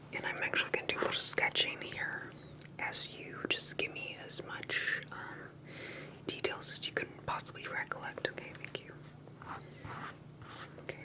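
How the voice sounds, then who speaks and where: A woman speaking in a breathy whisper, gently and deliberately asking questions in an ASMR roleplay interview. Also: rough recording. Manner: soft-spoken, deliberate, questioning.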